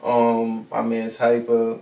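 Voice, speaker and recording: A man's voice: medium pitch, monotone, slow, gravelly, warm tone. A young man from Jamaica, a rapper being interviewed, talking casually in a laid-back way with slang and "feel me" tags, heard from a distant mic in a roomy studio. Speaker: rapper guest. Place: studio set with brick backdrop. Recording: roomy echo, distant mic, faint hiss.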